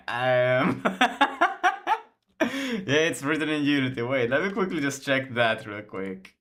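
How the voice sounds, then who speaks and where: A man's voice: mid-range voice, very animated, slow, long pauses, a bit bright. A young man with a Finland-Swedish accent, a native Swedish speaker, chatting casually on a live coding stream and rambling with frequent restarts and laughter. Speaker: game developer streaming.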